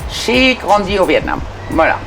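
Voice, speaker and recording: A woman's voice: mid-range voice, big pitch swings, moderate pace, vocal fry, fairly bright tone, soft background music. A middle-aged woman being interviewed, talking conversationally with many hesitations and restarts. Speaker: interview guest.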